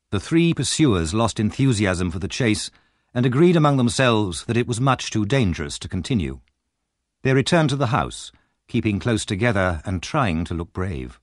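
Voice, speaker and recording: A male audiobook narrator reads a story with a very expressive, moving pitch. The delivery is measured and dramatic, recorded dry and close. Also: dry recording.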